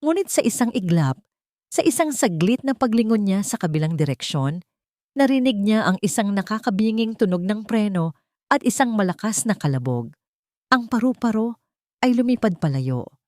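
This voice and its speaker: A woman's voice: medium pitch, very animated, normal speed, a little mellow, dry recording, studio quality. A woman narrating a dramatic scripted story with solemn, emotive delivery.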